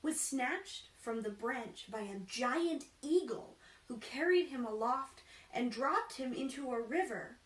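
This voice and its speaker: A woman reading a children's storybook aloud in an expressive, deliberate, theatrical way. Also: sits high. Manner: animated, deliberate, acts out dialogue. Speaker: costumed storytime reader. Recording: echoey room, distant mic, faint noise.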